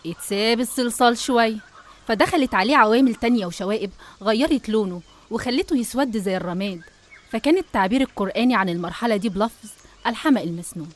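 A woman's voice: fairly high pitch, fast. A woman with an Egyptian accent narrating a scripted religious story in a didactic way, speaking straight to the listener. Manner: didactic, addressing the listener directly.

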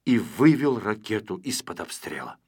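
A man narrating a children's story from a script in an expressive style. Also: on the low side, moderate pace, husky. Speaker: audiobook narrator.

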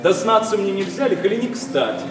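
Lovesick, biting young aristocrat; high voice, impassioned verse declamation, hall echo. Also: male voice, big pitch swings, brisk. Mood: lovesick, pleading. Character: sharp-tongued, lovesick young nobleman, passionate and mocking. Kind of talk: classic Russian stage verse, radio play acting. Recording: echoey hall, distant, music behind.

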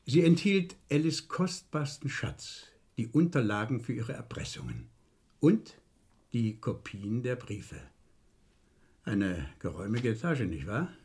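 An actor playing a shrewd, dryly needling police inspector in a vintage radio crime play, deliberate and falsely casual. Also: male voice, medium pitch, darkish tone, slightly rough, exaggerated voice. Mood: triumphant, insistent.

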